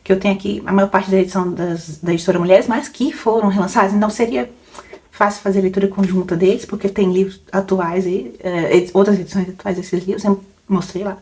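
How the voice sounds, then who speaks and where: A woman's voice: medium pitch, steady tone, fairly quick, darkish tone. A young adult woman, a book podcaster rambling casually through questions, laughing often and full of fillers. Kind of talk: casual Q&A chat.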